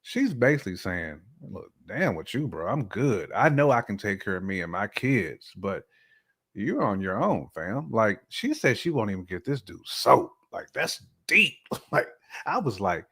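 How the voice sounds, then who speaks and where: A man co-hosting a casual relationship podcast, talking in slang with emphatic, animated opinions. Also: on the low side, unhurried, slightly rough. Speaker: podcast co-host.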